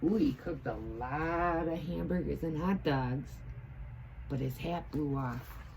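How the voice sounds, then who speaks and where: Female teaching assistant, story time: low register, slow, expressive, teacherly asides. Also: gravelly, a little hiss or hum, poor audio. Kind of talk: picture-book read-aloud with asides.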